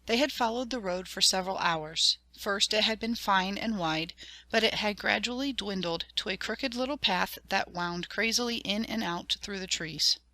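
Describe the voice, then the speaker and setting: A woman's voice: medium pitch, moderate pace, sharp tone, no room sound. A woman reading a children's fantasy storybook aloud as scripted, dramatic audiobook narration. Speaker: audiobook reader.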